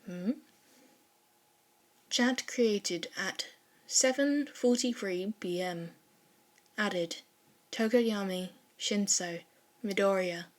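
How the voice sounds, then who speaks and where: A woman narrates a scripted story at an unhurried, slow pace. Her pitch sits in an ordinary middle range for a woman. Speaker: podfic narrator.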